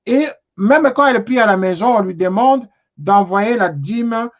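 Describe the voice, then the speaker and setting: A man hosting a TV talk show, speaking haltingly with frequent pauses. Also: sits high, animated, muffled recording. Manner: hesitant, many fillers and restarts. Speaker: TV show host.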